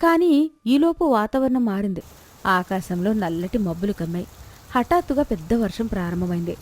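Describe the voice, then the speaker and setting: A woman's voice: medium pitch, animated, normal speed, a little mellow. A woman storyteller reading a scripted moral tale as narration.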